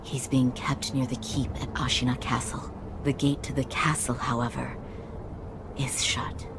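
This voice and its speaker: A calm, low-voiced healer. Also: female voice, noisy background. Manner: measured, polite, calm.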